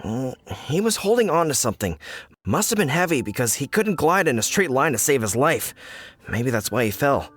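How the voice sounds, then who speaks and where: An actor playing a flustered, indignant village fruit merchant in a video game, dramatic and grumbling. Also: male voice, mid-range voice, very animated, moderate pace, a bit bright, slightly rough, faint music behind. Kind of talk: animated video game dialogue.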